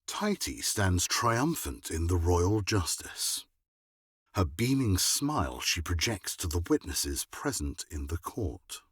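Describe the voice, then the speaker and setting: Deep, slow, husky male narrator. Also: very animated, fairly bright tone, no echo, clean studio sound. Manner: measured, dramatic. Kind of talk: scripted dramatic storytelling narration.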